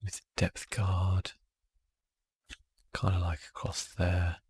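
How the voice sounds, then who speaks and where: A man giving soft-spoken ASMR commentary as he plays a game, thinking aloud in a relaxed way. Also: deep voice, slow pace, breathy edge. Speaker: gaming video host.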